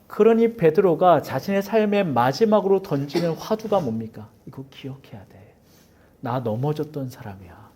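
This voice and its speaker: A male pastor preaching in a church with a warm, mellow voice. His pitch swings widely, rising for emphasis and falling low for hushed asides. He acts out dialogue and drops to near whispers. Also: animated, normal speed, slight background noise. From Seoul. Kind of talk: sermon. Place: church sanctuary.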